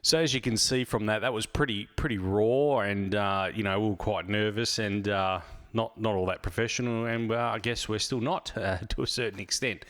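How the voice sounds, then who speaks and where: A man with an Australian accent hosting a casual motoring podcast, talking in a relaxed, rambling way with fillers and restarts, recorded in a small home office. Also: fairly low pitch, normal speed, hardly any pauses. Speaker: podcast host.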